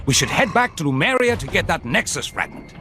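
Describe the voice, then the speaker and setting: An actor playing a confident male superhero teammate giving brisk, assured commands in a game cutscene. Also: on the high side, sing-song, bright, forceful tone. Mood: urgent. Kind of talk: video game cutscene acting.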